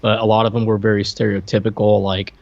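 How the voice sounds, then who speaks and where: A man's voice: fairly low pitch, flat delivery, fast talker, gravelly, a little mellow. A man co-hosting a podcast, chatting casually about old media on a slightly lo-fi microphone. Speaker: podcast co-host. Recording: slightly dull, lo-fi microphone sound.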